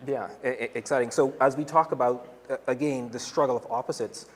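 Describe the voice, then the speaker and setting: A man's voice: medium pitch, steady tone, a little fast. Man moderating an artist talk, echoey. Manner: careful questions with restarts. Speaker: interviewer, art professor. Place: gallery hall. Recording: long hall reverb, faint ambience.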